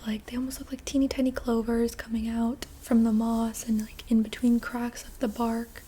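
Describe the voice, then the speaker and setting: A young adult woman narrating an ASMR roleplay story in a soft, unhurried whisper with casual fillers. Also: fairly high pitch, husky.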